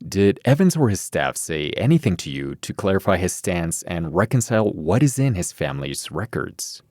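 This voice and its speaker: A man's voice: low-pitched, big pitch swings, normal speed, darkish tone, no room sound. An American man hosting and reporting in a scripted public radio news style, close on a studio microphone. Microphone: close studio microphone, dry.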